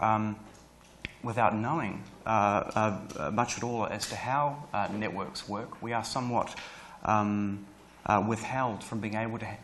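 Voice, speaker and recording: A man in his thirties with a New Zealand accent, giving a conference talk in a lecture hall, rushing along with many fillers and restarts. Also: on the low side, a bit bright, slight background noise. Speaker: guest speaker. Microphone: lapel mic.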